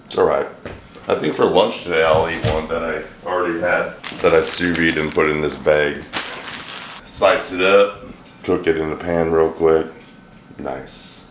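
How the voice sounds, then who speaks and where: Brief: middle-aged man, very low and a bit hoarse, rambling dry humor, echoey home kitchen with faint hiss. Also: steady tone, unhurried. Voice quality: deep, a little hoarse from a throat bug. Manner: joking, rambling, dry self-deprecating humor. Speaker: vlogger, home cook. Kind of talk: casual cooking vlog chat.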